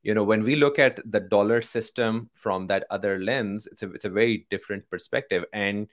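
A man's voice: fairly low pitch, moderate pace. A man from Pakistan hosting a casual conversation, hesitant, with many fillers and restarts. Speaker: host.

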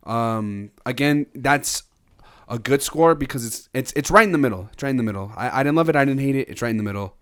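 A man's voice: mid-range voice, lively intonation, brisk. Young guy on a music podcast, rambly, lots of um and you know. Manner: rambling, many fillers and restarts. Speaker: podcast co-host. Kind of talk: casual album review chat.

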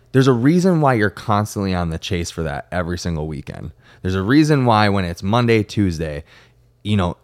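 A man hosting a podcast, chatting casually in an informal, slangy and opinionated way. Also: low voice. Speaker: podcast host. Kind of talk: casual chat.

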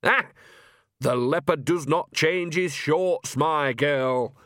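A shrill, cheeky old witch who gets loud and excited, put on by a man. Her voice jumps high and slow, all brash attitude. The recording is close and dry. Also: no echo. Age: older. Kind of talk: audiobook character voice.

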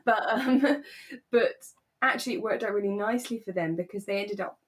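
A woman co-hosting a podcast, talking in a relaxed, chatty and reflective way. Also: mid-range voice, a little fast. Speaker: podcast co-host. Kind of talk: relaxed casual discussion of a radio drama.

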